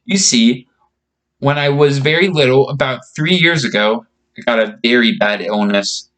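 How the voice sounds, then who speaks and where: A man's voice: medium pitch, normal speed, a bit bright, natural voice, low-quality recording. A man voicing a friendly, reassuring young girl in a storybook reading, chatting and explaining things simply.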